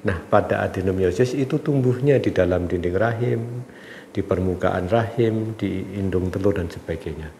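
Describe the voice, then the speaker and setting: A man speaking as a doctor who explains health questions from viewers in a calm, measured way, his voice congested from a cold and slightly nasal. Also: low-pitched. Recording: echoey room with faint background noise.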